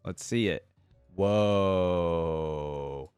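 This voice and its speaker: A man's voice: sits low, drawn-out words, darkish tone, clear, resonant tone, dry recording, studio quality, faint music behind. A man hosting a reaction video, talking informally and thinking aloud as he comments and reads along. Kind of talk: casual reaction commentary, reading wiki text aloud.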